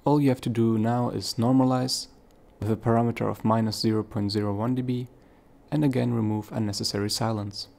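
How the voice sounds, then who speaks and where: A man hosting a software tutorial, giving plain step-by-step instructions. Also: mid-range voice, level tone, brisk, gravelly. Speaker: tutorial host.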